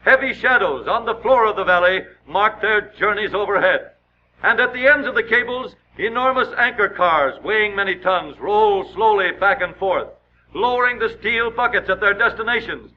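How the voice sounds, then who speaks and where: An American man narrating a 1930s newsreel with dramatic, emphatic announcer delivery. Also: high voice. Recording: scratchy, muffled 1930s newsreel soundtrack.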